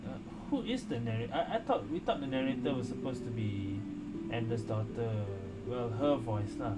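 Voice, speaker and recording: A male streamer reacts casually to a game. His mid-pitched voice puzzles things out aloud over loud music. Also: poor audio. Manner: puzzled, thinking aloud, repeating what he hears. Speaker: gaming streamer.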